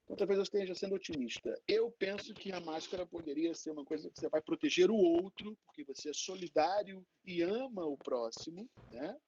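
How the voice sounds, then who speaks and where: A man talks candidly as a guest professor on a panel. He is conversational and halting, often tagging phrases for agreement, on muffled audio with faint noise. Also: sits high, a little mellow. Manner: candid, halting, frequently seeking agreement with tags. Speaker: invited professor answering questions.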